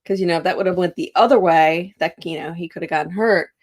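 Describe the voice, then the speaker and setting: A woman's voice: lowish voice, fast talker. Chatty woman greeting livestream viewers. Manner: chatty, laughs often, greets viewers by name. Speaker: livestream co-host. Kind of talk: casual live chat. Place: living room of an RV, on a couch. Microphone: webcam framing, seated.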